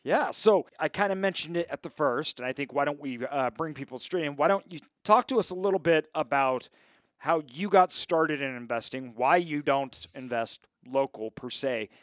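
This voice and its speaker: A man's voice: a little high, moderate pace, dry recording, studio quality. A man hosting a casual podcast interview, talking conversationally with his guest. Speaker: podcast host.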